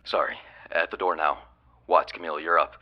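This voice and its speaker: A man playing a gruff, wisecracking police lieutenant leading a search in an audio drama. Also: very deep voice, little pitch movement, fairly quick, highs cut off, heavy background noise. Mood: commanding. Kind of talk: radio-call audio drama acting.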